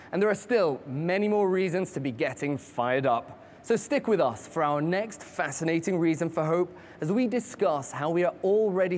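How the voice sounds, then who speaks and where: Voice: mid-range voice, darkish tone, a little hiss or hum. A host reading scripted broadcast narration in an upbeat, promotional way. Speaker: program host.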